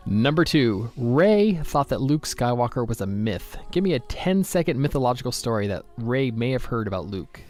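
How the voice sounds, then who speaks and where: A man's voice: medium pitch, sing-song, normal speed, hardly any pauses, darkish tone, faint music behind. A man hosting a light-hearted quiz interview in a jokey, conversational way. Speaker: podcast host.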